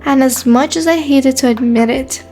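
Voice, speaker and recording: A woman playing a guarded, sassy high school girl in a first-person romance story, with a skeptical, dry manner. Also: normal speed, warm tone, close to an everyday voice. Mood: puzzled, reluctant.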